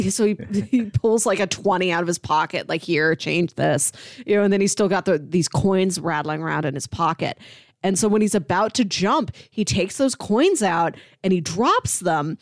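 Woman, film podcast co-host, medium pitch, dry studio-close sound, casual conversational retelling, quotes characters. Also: no echo.

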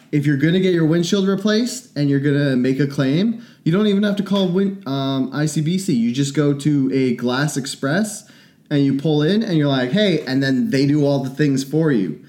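A man, a little sick and raspy, co-hosting a podcast and telling rambling, self-mocking stories. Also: mid-range voice, normal speed, darkish tone. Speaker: podcast co-host. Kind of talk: casual podcast chat.

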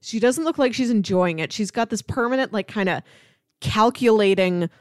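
A woman's voice: mid-range voice, normal speed, no room sound, clean studio sound. A woman podcast host chatting casually about film, often slipping into acted-out imagined quotes.

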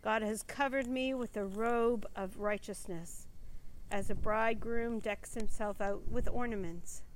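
A woman reading scripture aloud in a solemn, measured, declamatory way. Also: a little high, fairly even intonation. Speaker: scripture reader. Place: outdoors in a park. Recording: noisy outdoor ambience with vehicle sounds.

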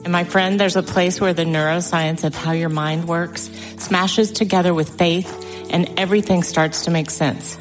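A woman's voice: on the low side, fairly even intonation, moderate pace. A woman reading a scripted promotional podcast introduction, addressing the listener directly. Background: music.

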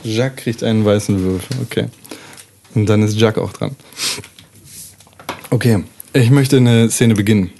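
A man's voice: on the low side, moderate pace, pauses often, mellow, a little hiss or hum. A man improvising scene narration as a player in a tabletop role-playing podcast among friends.

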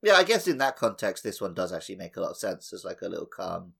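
A man's voice: sits low, sing-song, quick pace, gravelly, a bit bright, slightly rough. A man co-hosting a gaming podcast, bantering casually in a wry, joking manner. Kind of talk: casual banter about a video game.

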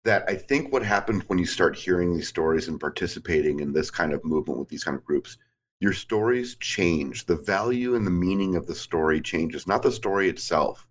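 A man in his forties with a notably deep voice speaks in a loose, reflective way. He rambles and piles in filler words as he works through his thoughts, like a guest in a relaxed podcast chat. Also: brisk. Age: 40s. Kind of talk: casual chat.

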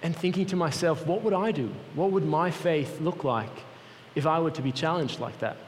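A man's voice: a little high, normal speed, a little hiss or hum. A young man with a Swiss accent giving an earnest, personal sermon as a guest speaker for a mission group in a church. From Switzerland. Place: large church hall. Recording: long reverb of a church hall.

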